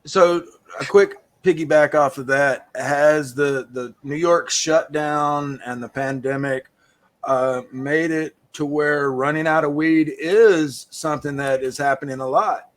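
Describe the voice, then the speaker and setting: A middle-aged man with a drawl runs the interview in a chatty, folksy way. His voice sits a bit higher than most men's. He rambles warmly and keeps the talk easy. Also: unhurried. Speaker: podcast host. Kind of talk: casual interview chat.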